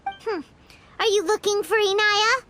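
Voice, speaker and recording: A woman voicing a small, chatty, childlike fairy companion in a video game, chirpy and opinionated, with a squeaky voice. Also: a little slow, sharp tone, slight background noise, exaggerated voice. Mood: surprised.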